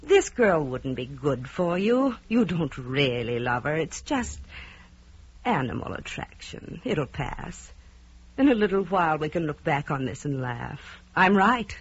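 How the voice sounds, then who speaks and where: A woman's voice: low-pitched, very animated, a little slow, husky, full character voice. An actress playing a possessive, mothering wife in a mid-century radio drama, insistent, patronising and emphatic. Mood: insistent, scolding. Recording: dull old radio recording.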